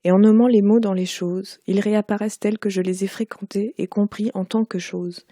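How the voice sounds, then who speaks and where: Warm-voiced woman reading her prose. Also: mid-range voice, steady tone, no room sound, clean studio sound. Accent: Parisian French. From Quebec. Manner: measured, unhurried literary reading. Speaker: author. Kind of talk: reading aloud from her own book.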